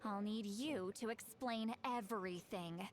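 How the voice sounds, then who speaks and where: An actress playing a stern, exasperated young woman in a video game, scolding with brisk authority. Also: medium pitch, crowd noise, close to an everyday voice.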